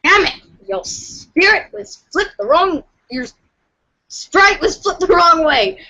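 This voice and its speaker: A woman's voice: very high voice, expressive, takes it slowly, bright, forceful tone, highs cut off. A woman reading a script aloud on a podcast as dramatic, story-like narration. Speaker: podcast reader.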